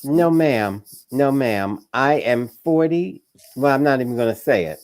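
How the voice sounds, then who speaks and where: A man's voice: mid-range voice, animated, measured pace, darkish tone. An American man debating and bantering as a panelist, argumentative and insistent, often repeating himself. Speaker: talk show panelist.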